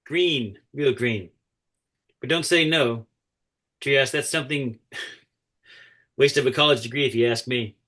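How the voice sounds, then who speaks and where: A plain-spoken boss type acted by a man at a script read, wry and direct. His voice is mid-pitched for a man. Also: slow, long pauses, sharp tone. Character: plain-spoken, wry men: coach and work-crew boss. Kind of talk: screenplay table read.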